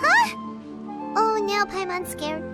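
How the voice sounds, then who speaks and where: A woman's voice: extremely high pitch, huge pitch swings, slow pace, heavily acted. A woman voicing a tiny, childlike, cutesy fairy companion in an anime game. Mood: frightened. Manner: refers to self in third person, cutesy. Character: tiny childlike floating fairy companion, cheerful, easily scared. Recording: loud music behind the voice. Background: game music.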